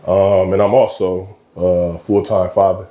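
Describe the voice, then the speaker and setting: A man in his thirties from Michigan, a basketball coach talking as a guest in a casual interview, relaxed and slangy with frequent ums. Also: deep voice, a little slow, echoey room. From Michigan, USA. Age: 30s. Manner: laid-back, slangy, lots of ums.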